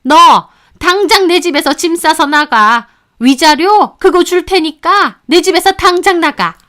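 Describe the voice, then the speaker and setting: Woman putting on a shrill scolding older mother-in-law. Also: very animated, moderate pace, clear, resonant tone. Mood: furious. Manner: sharp, shrill, accusing.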